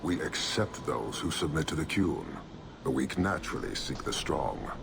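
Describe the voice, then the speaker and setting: An actor playing a stern, proud giant military commander in a video game, speaking in a grave, formal, declamatory way. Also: male voice, extremely low pitch, very animated, moderate pace, gravelly, background noise, exaggerated voice.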